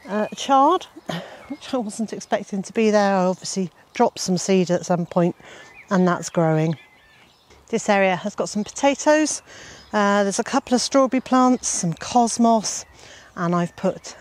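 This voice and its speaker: A woman in her sixties giving a casual tour of her vegetable garden, talking outdoors with many "uh" fillers and gentle chuckles. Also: fairly low pitch. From Wales.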